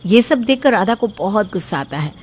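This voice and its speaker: A woman's voice: mid-range voice, a little fast, breathy edge. Woman narrating a family story. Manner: even, storytelling.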